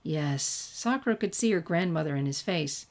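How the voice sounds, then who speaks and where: A woman's voice: deep voice, expressive, normal speed. A woman who reads fan fiction aloud on her channel, recorded at home in an ordinary room. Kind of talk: scripted story narration and casual sign-off. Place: room at home without soundproofing.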